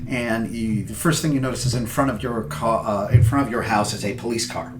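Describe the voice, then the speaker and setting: An American man improvising tabletop game narration as game master, halting and thinking aloud. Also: medium pitch, fairly even intonation. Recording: roomy echo, faint noise.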